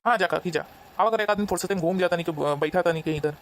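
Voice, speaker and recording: An actor voicing an exasperated village man in a comedy cartoon, hurried and placating, with an Awadhi accent. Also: mid-range voice, a bit bright, a little hiss or hum, close to an everyday voice. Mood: impatient.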